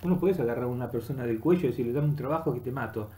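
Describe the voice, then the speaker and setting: A middle-aged man with an Argentine accent giving an unscripted, halting monologue that rambles as he searches for words, recorded on a webcam in a room. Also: mid-range voice, warm tone. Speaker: vlogger. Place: small room indoors. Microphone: webcam at desk distance.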